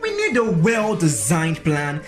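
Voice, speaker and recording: An actor with a Nigerian accent playing an indignant, distrustful conspirator in a radio drama, blustering and argumentative. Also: male voice, fairly high pitch, wildly swooping pitch, unhurried, over loud music. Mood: wary.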